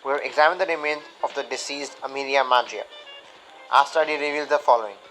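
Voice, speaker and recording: A man's voice: medium pitch, fairly even intonation, quick pace, sharp tone, soft background music, close to an everyday voice, rough recording. A teenage boy playing a formal, clinical 1920s medical examiner making an announcement in a radio drama. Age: teens, high school student.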